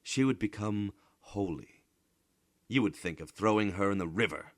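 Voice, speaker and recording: A man's voice: lowish voice, animated, moderate pace, no echo, pitched above the performer's own voice. Storybook role: cynical elderly man played by a male reader, stern, admonishing, emphatic, dry close sound. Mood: grim, urgent. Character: stern, cynical old man scolding a dreamer.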